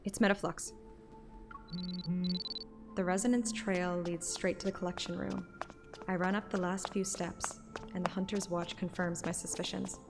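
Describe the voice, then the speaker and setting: A woman's voice: on the low side, steady tone, normal speed, vocal fry, long pauses, music in the background. A woman narrating a story like an audiobook, with casual streamer asides. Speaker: streamer reading a game story aloud.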